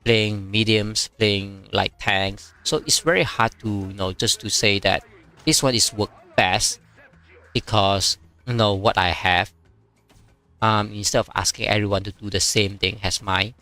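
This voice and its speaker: A man whose first language is Chinese, streaming a strategy game and chatting casually, with many fillers and pauses as he reads viewer comments. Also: fairly low pitch, steady tone, pushed and bright, soft background music. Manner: halting, many fillers, reads chat aloud.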